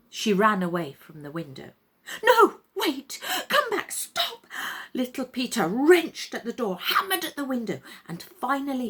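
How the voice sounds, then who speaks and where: A woman's voice: mid-range voice, a bit bright, husky. A woman reading a story aloud dramatically, with theatrical, suspenseful phrasing.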